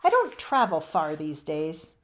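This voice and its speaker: A woman voicing a sweet, gentle old lady of ninety in a storybook. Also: low-pitched, big pitch swings, a little slow, pitched below the performer's own voice. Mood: wistful. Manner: gentle, kindly, polite.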